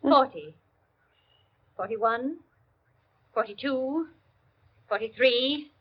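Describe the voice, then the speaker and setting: An actress playing a poised society hostess in a 1940s radio drama, speaking in a deliberate, commanding, theatrical way. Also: female voice, high-pitched, slightly rough, heavily acted. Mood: measured, tense. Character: poised society hostess, counting slowly aloud. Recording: dull, noisy vintage radio recording.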